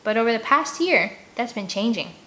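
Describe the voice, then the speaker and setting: A woman's voice: on the high side, expressive, normal speed, fairly bright tone. A woman reading a first-person children's novel aloud as an audiobook, telling the story expressively. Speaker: audiobook reader.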